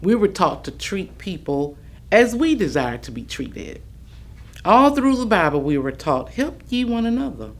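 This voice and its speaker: An actress performing a devout, kindly older woman's recollections in a staged reading, earnest and deliberate. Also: mid-range voice, sing-song.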